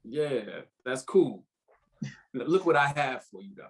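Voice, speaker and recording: A man's voice: medium pitch, lively intonation, slow pace. A man playing a laid-back, bantering old lover in a drama script read, with an American accent and casual, slangy speech. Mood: casual, flirtatious. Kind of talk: informal screenplay table read.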